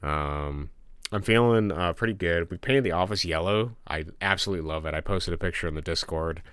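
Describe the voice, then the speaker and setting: A man's voice: sits low, a little fast, clean tone, no room sound, clean studio sound. A man streaming from his home office, chatting casually with viewers and sniffling a little from a cold. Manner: relaxed, rambling, reads chat aloud and answers. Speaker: game developer streamer. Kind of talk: casual livestream chat.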